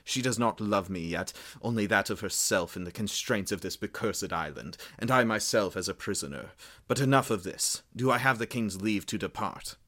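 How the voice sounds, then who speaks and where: A man voicing a proud, bitter young prince who speaks forcefully and resentfully. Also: sits low, moderate pace.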